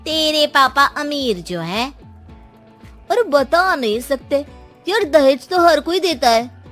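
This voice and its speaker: A woman voicing a gossipy, teasing young friend in an animated story, with a mocking delivery. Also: high-pitched, slow pace, faint music behind, full character voice.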